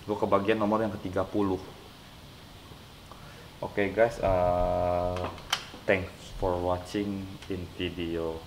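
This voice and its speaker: A young man talks his way through an unboxing, his deep, nasal voice stuffy from a cold. He rambles unhurriedly with lots of fillers. The room is echoey and there is clear background noise behind him. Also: level tone, takes it slowly, long pauses. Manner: rambling, hesitant with many fillers. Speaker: unboxing vlogger. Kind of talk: casual unboxing chat.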